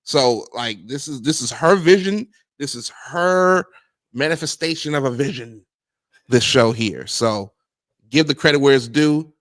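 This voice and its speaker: A man co-hosting a casual livestream, relaxed and repetitive, hyping up his co-host. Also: mid-range voice, unhurried, no room sound. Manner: relaxed, repeats himself, warm hype-man talk.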